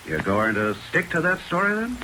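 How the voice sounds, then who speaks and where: An actor playing a stern, suspicious middle-aged small-town mayor, speaking in a clipped, commanding way in 1940s radio drama style. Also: male voice, high-pitched, very animated, moderate pace, pushed and bright, full character voice, rough recording. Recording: old radio recording, faint rain hiss.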